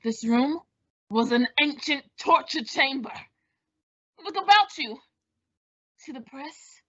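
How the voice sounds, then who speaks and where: A woman's voice: sits high, lively intonation, slow, fairly bright tone, full character voice. A woman acting a sly villainous man who poses as a polite helper in a radio thriller, with theatrical delivery. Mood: gloating menace. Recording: dull lo-fi audio.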